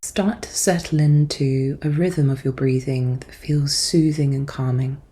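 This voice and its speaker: A woman therapist leading a guided meditation in a gentle, soothing and measured manner. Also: very deep voice, steady tone, a little hiss or hum. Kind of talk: guided meditation, soft-spoken instruction.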